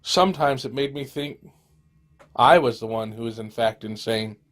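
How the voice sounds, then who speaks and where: A man playing a lonely, weary, haunted apocalypse survivor, telling his story in an amateur audio drama with halting, dramatic pauses. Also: mid-range voice, fairly bright tone, pitched below the performer's own voice, lightly acted. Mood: brooding, haunted. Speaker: amateur voice actor. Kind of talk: scripted first-person audio drama narration.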